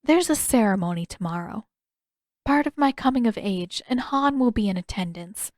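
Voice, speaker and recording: Female narrator as young princess, gentle, formal, measured, wistful, storybook style, dry close sound. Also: fairly high pitch, lively intonation, no echo, close to an everyday voice. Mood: reserved, regretful.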